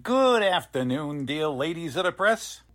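A man's voice: on the high side, very animated, measured pace, a bit bright, slight background noise. A man playing a cynical, streetwise newspaper photographer with slangy teasing talk in a 1940s-style radio drama.

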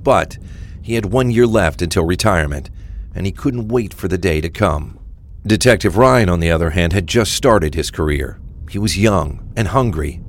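A man's voice: sits low, slight background noise. A man reading scripted narration for a crime thriller audio drama.

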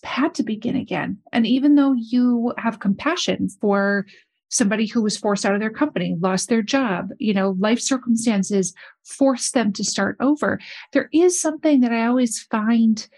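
A woman's voice: a little high, moderate pace, darkish tone. A woman podcast host asking thoughtful, reflective questions. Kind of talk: interview questions.

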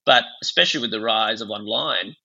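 A man from Bangladesh talks through ideas as an expert interview guest, discursive and intellectual. His voice is lowish and very bright, with a creaky edge. The audio is slightly dull and lo-fi. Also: a little fast. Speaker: psychiatrist and author, interview guest.

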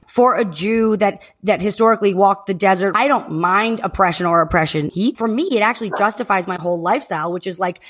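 A woman co-hosting a podcast from home, joking and riffing through casual banter with quick asides. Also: mid-range voice. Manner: jokey, riffing, rapid asides. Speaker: podcast co-host. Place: home in Texas.

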